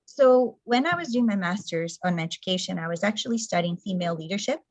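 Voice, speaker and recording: A woman's voice: mid-range voice, expressive, fairly quick, dry recording. A middle-aged woman whose first language is Portuguese gives a thoughtful talk over a video call, with frequent fillers and restarts. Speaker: presenter.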